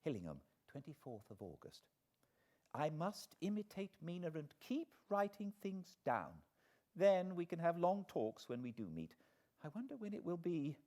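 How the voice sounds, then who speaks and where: A frail, anxious young British woman, as performed by a male narrator, confides in her diary in an audiobook. The voice sits high and moves expressively up and down. The manner is weary and plaintive. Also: sing-song, moderate pace, mellow. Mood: fearful, unhappy. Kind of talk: dramatised audiobook diary reading.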